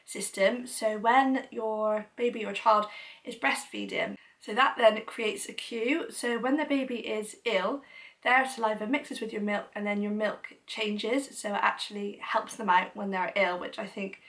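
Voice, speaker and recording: Young mum vlogger, congested with a cold, chatty and keen, mid-pitched, mic set back a little. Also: female voice, steady tone, a little slow, fairly bright tone, far from the mic. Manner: chatty, enthusiastic, many fillers like "you know". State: blocked-up nose from a cold. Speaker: parenting vlogger. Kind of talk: casual explanatory talk to camera.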